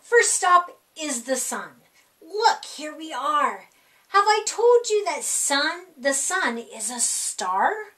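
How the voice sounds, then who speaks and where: A middle-aged woman reading a rhyming children's picture book aloud in an animated, sing-song, deliberate way for young kids. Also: high voice. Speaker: library storytime reader. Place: small indoor room.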